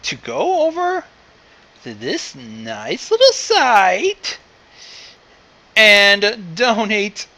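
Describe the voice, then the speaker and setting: A man hosting an online fan fiction reading, chatting casually with swearing in an excitable, exasperated way. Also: sits high, drawn-out words, long pauses. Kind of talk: casual, profane online chat.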